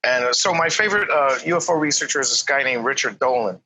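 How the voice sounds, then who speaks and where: A man's voice: medium pitch, quick pace, a little hiss or hum, low-quality recording. A man who grew up in Korea with English as his first language, talking casually as a podcast guest with lots of fillers and hesitations. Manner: relaxed, hesitant, many fillers. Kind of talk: casual chat.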